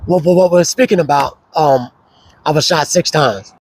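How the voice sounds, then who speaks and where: A man with a hoarse voice, casually telling his life story as an interview guest, slow and relaxed with many fillers. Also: a little high, slight background noise, rough recording.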